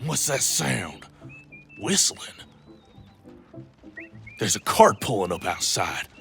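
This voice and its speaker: Western audio drama villain: swaggering outlaw, gravelly, very animated delivery over loud music. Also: male voice, fairly low pitch, slow pace, full character voice. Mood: taunting. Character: swaggering menacing Old West outlaw gunslinger.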